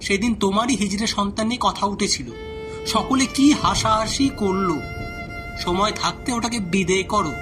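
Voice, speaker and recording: A woman playing a nagging, scornful, superstitious village neighbour in an animated story, insistent and scolding. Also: mid-range voice, normal speed, music in the background, lightly acted. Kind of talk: acted dialogue in animated story.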